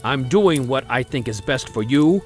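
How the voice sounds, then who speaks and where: A man's voice: mid-range voice, lively intonation, moderate pace, faint music behind, close to an everyday voice. An actor playing a stern, practical middle-aged father who lectures his daughter firmly. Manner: firm, paternal lecturing. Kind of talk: 1940s style radio acting.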